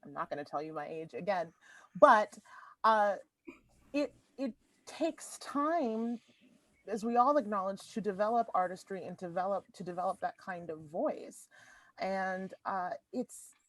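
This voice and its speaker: A woman's voice: medium pitch, measured pace, fairly bright tone, no echo, low-quality recording. A woman opera singer talking candidly and frankly on a panel over a video call. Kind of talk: panel discussion.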